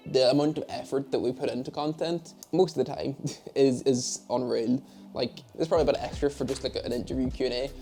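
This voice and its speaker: Casual young northern irish guy, bit of gravel in his voice, chatty and jokey, soft music under him. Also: mid-range voice. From Derry, Northern Ireland. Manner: chatty, self-mocking, rambling asides. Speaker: esports head of media. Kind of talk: casual Q and A to camera. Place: home office setup.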